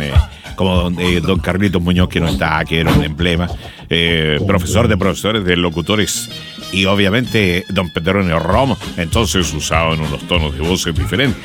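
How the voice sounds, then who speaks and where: A middle-aged man with a Chilean accent hosting a radio show in casual, joking chat. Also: deep voice. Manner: jovial, breaks into mimicked voices. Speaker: radio host.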